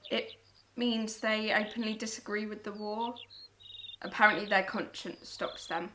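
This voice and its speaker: Actress playing a lonely young land girl in a wartime radio play, slow and guarded, bit of noise and birds. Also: medium pitch, fairly even intonation, pushed and bright. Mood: confiding. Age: young adult. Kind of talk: audio drama acting. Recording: noisy recording with birdsong.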